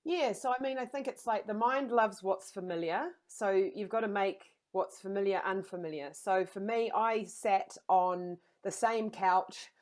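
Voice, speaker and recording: A woman guest talking candidly in an interview, earnest and energetic, often repeating her phrases. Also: mid-range voice, unhurried, few pauses. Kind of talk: candid conversational storytelling.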